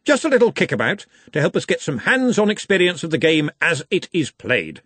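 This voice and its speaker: A man's voice: on the high side, very animated, a little fast, a bit bright, husky, dry recording, voice pushed up, heavily acted. A man voicing a pompous older wizard in charge, with an oratorical manner, in a fantasy audiobook.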